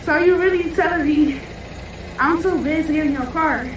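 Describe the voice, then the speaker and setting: Echoey, high-pitched, insistent woman arguing. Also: normal speed, far from the mic, background noise. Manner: insistent, questioning, confrontational. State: short of breath. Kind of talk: spontaneous argument. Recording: very echoey phone video beside car.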